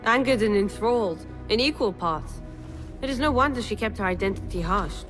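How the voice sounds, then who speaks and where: A woman's voice: mid-range voice, lively intonation, normal speed, over music, lightly acted. Wry, disdainful female informant played by an actress. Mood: wry, scornful. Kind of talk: video game cutscene dialogue.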